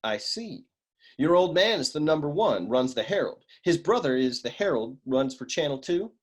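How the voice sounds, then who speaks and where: An actor playing a wisecracking hardboiled private eye in a comic noir radio serial, with deadpan, slangy tough-guy talk. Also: on the high side, moderate pace. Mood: cocky.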